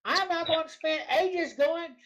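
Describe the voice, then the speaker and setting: A woman with a high voice gives a mocking reading of online forum posts. She sounds argumentative and sarcastic throughout. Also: a little slow, a bit bright. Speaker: co-host.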